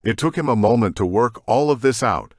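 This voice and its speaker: A man's voice: mid-range voice, lively intonation, fairly quick, no room sound, clean studio sound. A man reading scripted fiction as an audiobook narrator. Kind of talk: scripted sci-fi story narration.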